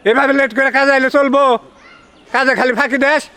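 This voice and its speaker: A man's voice: extremely high pitch, flat delivery, fast, bright, forceful tone. A man playing a stern, irritated factory boss who berates workers bossily in a comic drama. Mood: irritated scolding. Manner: bossy, scolding. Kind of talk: acted dialogue in a Bangla comic short drama.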